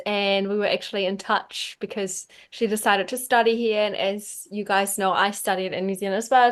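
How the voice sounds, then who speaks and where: A woman's voice: mid-range voice, smooth. A young woman hosting a podcast, upbeat and encouraging as she chats and introduces her guest. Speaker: podcast host. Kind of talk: casual podcast chat.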